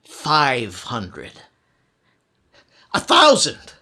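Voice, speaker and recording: A man's voice: medium pitch, wildly swooping pitch, drawn-out words, sharp tone, full character voice. A man acting in an old-time radio thriller as a desperate, scheming murderer who is urgent and commanding, by turns wheedling and menacing. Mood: urgent bargaining. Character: desperate, scheming male murderer trying to strike a bargain.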